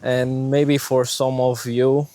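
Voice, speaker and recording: Slow, flat-voiced man with a Romanian accent. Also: medium pitch, dry recording. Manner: hesitant, many fillers and restarts. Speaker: vlogger. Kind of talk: personal testimony vlog. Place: outdoors on a windy day.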